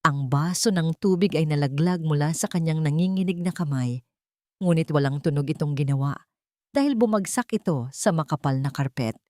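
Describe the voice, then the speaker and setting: A woman with a Filipino accent narrating a romance story in a measured, dramatic storytelling manner. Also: sits low, dark tone, dry recording, studio quality.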